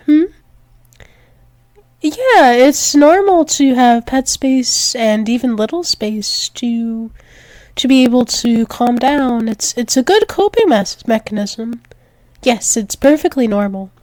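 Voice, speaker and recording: A woman's voice: on the high side, lively intonation, slow, darkish tone, dry recording. A woman playing a tender, possessive alpha werewolf demon lover in an intimate ASMR roleplay, soothing and repetitive with small hesitant stumbles. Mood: reassuring, affectionate. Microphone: close microphone, dry.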